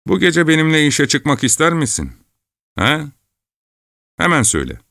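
A man's voice: a little high, huge pitch swings, normal speed, creaky, sharp tone, no echo, clean studio sound, voice pushed up, full character voice. A man putting on a harsh vagabond fisherman. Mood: commanding. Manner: harsh, commanding. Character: rough vagabond fisherman, cold and menacing.

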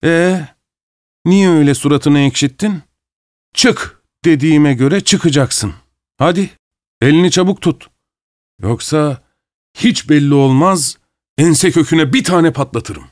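Man acting out drunken arrogant bully, raised high pitch, imperious, taunting, theatrical storybook character, dry sound. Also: slow pace, pauses often, no room sound. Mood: bossy.

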